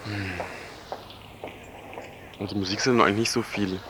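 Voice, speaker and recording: A man giving halting, casual answers in a street interview, searching for examples as he talks. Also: fairly low pitch, steady tone. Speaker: passer-by being interviewed. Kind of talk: casual spontaneous street chat. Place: outdoors on a busy street. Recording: clear street noise behind.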